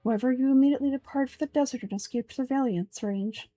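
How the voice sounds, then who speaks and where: A woman's voice: sits high, fast, mellow, dry recording, soft background music. A woman voicing a pompous, coldly calculating old male villain from a game, speaking formally and condescendingly. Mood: interrogating. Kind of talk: amateur voice-over of game dialogue.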